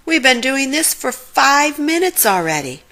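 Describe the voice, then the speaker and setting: A woman's voice: high-pitched, sing-song, unhurried, sharp tone, voice pushed up, exaggerated voice. A woman voicing a cowardly, hungry young male slacker with exclamatory, "like"-peppered speech in a cartoon storybook. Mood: grumbling.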